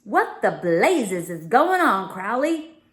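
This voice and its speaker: Woman putting on a blustering indignant gentleman. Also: medium pitch, sing-song, slow. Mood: outraged. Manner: blustering, demanding. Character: stern, indignant gentleman father. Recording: roomy indoor echo.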